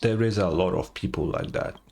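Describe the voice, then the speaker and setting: A man's voice: low-pitched, fairly quick, a little mellow. A man giving blunt, sweary, casual reactions as he streams a game. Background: game music.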